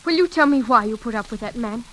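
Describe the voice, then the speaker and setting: Headstrong grown daughter, high pitch, emphatic, 1940s radio drama, noisy old recording. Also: female voice, animated, fast talker, bright, forceful tone. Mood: indignant. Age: young adult. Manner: emphatic, forthright. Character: headstrong, indignant young daughter.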